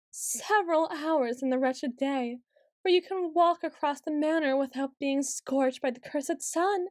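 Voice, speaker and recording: A woman's voice: high voice, dry recording, studio quality. A woman playing a haughty, petulant ancient female vampire in a scripted audio roleplay, speaking in a theatrical, imperious and archly formal way. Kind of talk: scripted ASMR roleplay monologue.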